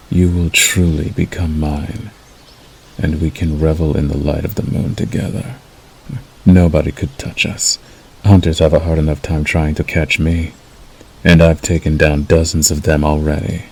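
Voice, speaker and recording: Casting: male actor as possessive werewolf suitor for ASMR roleplay; extremely low, gravelly growl, seductive menace. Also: full character voice. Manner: slow, deliberate, coaxing yet threatening. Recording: faint background noise.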